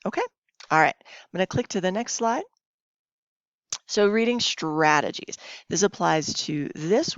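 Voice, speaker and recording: A woman teacher talks through a recorded lesson at a very unhurried pace. Her voice sits slightly low, and she explains instructively, often checking in with short questions. The recording is somewhat dull and lo-fi. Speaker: Spanish teacher. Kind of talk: recorded classroom lesson, reading slides aloud. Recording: dull lo-fi, roomy home recording.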